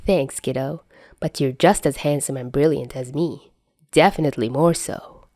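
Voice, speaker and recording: A woman's voice: very deep voice, moderate pace, warm tone, no room sound, clean studio sound. A woman voicing a wry, fatherly grown man in an audiobook story, teasing yet patient and protective.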